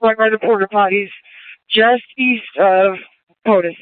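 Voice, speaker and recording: A man's voice: sits high, measured pace, no echo. A man working as a field unit, giving brief operational replies over a narrowband police two-way radio.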